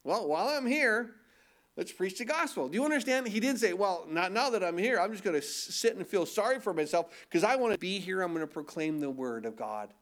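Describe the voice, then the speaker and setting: A man's voice: high-pitched, animated, fairly quick, a bit bright. A man with a nasal voice preaching a sermon as pastor, talking conversationally and throwing in rhetorical questions.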